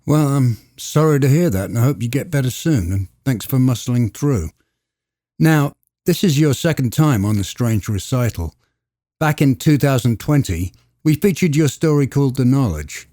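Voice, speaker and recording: A man hosting a podcast, announcing and greeting a guest in a slow, deliberate way. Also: medium pitch, lively intonation, dark tone, dry recording, clean studio sound. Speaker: podcast host.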